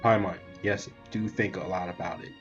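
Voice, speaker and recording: A man's voice: low voice, steady tone, normal speed, dull audio, soft background music. A man giving casual commentary as he hosts a gameplay video. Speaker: gaming video host.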